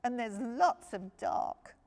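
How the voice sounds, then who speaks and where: A woman's voice: on the high side, lively intonation, takes it slowly, a little mellow, voice pushed up. A woman voicing a scared young male bear cub in a children's picture-book story, timid, plaintive and childlike.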